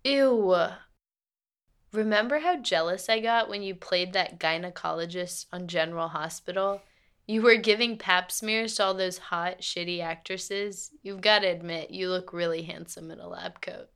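A woman's voice: lowish voice, fairly even intonation, moderate pace, a bit bright, smooth. An actress playing a frank, principled lawyer and girlfriend in a radio play, speaking in a natural, articulate, matter-of-fact way. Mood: playful.

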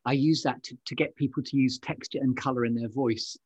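A man's voice: mid-range voice, fairly even intonation, brisk, darkish tone, breathy edge, dry recording, muffled recording. A man hosting a conversational interview, effusive and appreciative in the way he responds. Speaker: podcast host.

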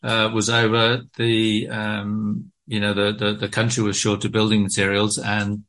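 Middle-aged British man, low-ish voice, forceful and bright, halting with lots of ums. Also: monotone. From Britain. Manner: hesitant, many ums and restarts. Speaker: guest author. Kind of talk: casual interview talk.

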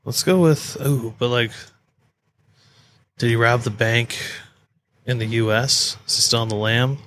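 A man's voice: medium pitch, fairly even intonation, a little slow, fairly bright tone. An American man chatting casually as a podcast co-host, rambling and joking in an informal way.

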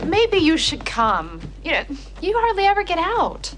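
Bubbly, high-voiced college woman. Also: huge pitch swings, normal speed, soft background music, heavily acted. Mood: coaxing. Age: young adult. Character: playful, bubbly, adventurous college-age woman, teasing friend. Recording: loud studio audience and noise behind.

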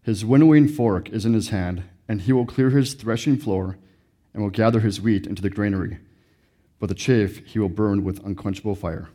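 A man's voice: on the low side, normal speed, warm tone. A man reading a Bible passage aloud from a script. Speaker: scripture reader.

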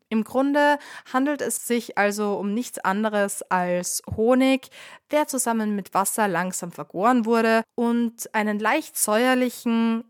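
A woman's voice: on the high side, no echo, studio quality. A woman hosting her own podcast, speaking with a cold, telling history in a playful, wry scripted narration with ironic asides. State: has a cold. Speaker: podcast host, bartender.

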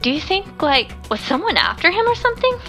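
An actress playing a friendly, chatty young diner waitress in an audio drama, with an informal, chirpy manner. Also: female voice, extremely high pitch, very animated, brisk, pushed and bright, faint music behind, full character voice. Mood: chatty recounting. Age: young adult.

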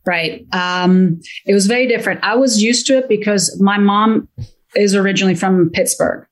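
A woman in her fifties from Italy, a wealth advisor chatting as a guest in an interview, eager and quick, often repeating words as she gets going. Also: medium pitch. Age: 50s. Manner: enthusiastic, quick, repeats words when excited. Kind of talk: casual interview chat.

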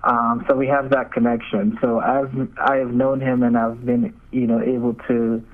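Young man, mid-pitched voice, hesitant casual interview answers, muffled phone-line sound. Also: little pitch movement, moderate pace, slight background noise. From Nigeria. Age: young adult. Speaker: seminarian, podcast guest. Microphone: remote call.

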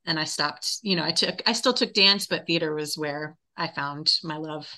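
Bright-voiced American woman, on the low side. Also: normal speed. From North Carolina, United States. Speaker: interview guest, actor and movement teacher. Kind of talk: conversational interview answers.

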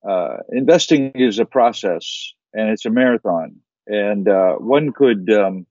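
A middle-aged man with a General American accent speaks in a lowish voice, pausing often to restart his thoughts. The recording is dry and slightly dull, with a lo-fi edge. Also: lively intonation, a little mellow, no echo. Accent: General American, Chicago-like. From born in Kuwait, raised mostly in the United States. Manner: measured, many fillers and restarts. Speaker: investment firm founder, guest. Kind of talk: interview answers.

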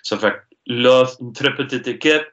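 A man in his forties with an Acadian French accent, answering interview questions earnestly, with many filler pauses. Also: mid-range voice, fairly bright tone. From Baie-Sainte-Marie, Nova Scotia. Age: 40s. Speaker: guest, university sports director. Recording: dull lo-fi sound.

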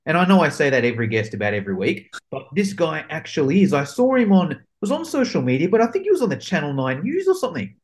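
A man's voice: a little high, big pitch swings, fast talker, hardly any pauses. A man hosting a podcast interview, upbeat and hyping up his guest. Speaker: podcast host.

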